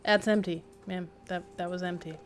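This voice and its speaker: A woman's voice: lowish voice, fairly even intonation, normal speed, soft background music. A woman chatting casually and thinking aloud as she livestreams a video game. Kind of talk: casual game stream commentary.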